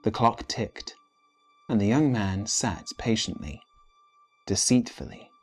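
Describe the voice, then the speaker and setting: A man reading literary fiction as a storyteller, with measured, theatrical phrasing. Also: on the low side, animated, soft background music. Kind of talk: dramatic literary narration.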